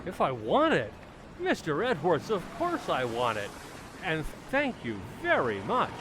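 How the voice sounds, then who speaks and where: A man's voice: a little high, wildly swooping pitch, measured pace, noisy background. A man playing an eager, self-reproaching family man in a vintage-style radio comedy, with an earnest, theatrical and easily flustered delivery. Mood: eager, obliging. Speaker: community theater actor.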